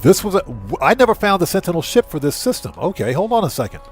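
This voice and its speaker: Male game streamer, slightly high voice, chatty and informal, music underneath. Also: huge pitch swings, fast. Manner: chatty, thinking aloud, addressing viewers informally. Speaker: livestream host. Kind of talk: casual video game livestream commentary.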